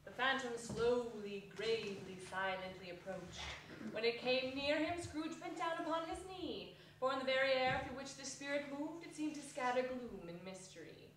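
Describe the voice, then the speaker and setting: Female stage narrator, fairly high voice, solemn storybook delivery, distant from the microphone. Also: normal speed, a bit bright. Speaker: narrator in a dramatic reading. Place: theatre hall stage reading. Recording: echoey hall, distant mic, some noise.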